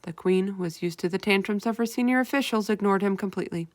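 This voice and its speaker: A woman reading a children's book aloud in a steady, clear storytelling manner. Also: medium pitch, fast, dry recording, studio quality. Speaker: reader.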